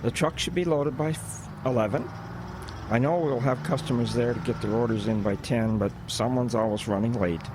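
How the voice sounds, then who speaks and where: A man playing a practical, easygoing boss of delivery drivers in an audio drama, talking in a measured, matter-of-fact way. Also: mid-range voice, natural voice. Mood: businesslike. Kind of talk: audio drama acting, naturalistic dialogue. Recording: clear vehicle and outdoor noise behind.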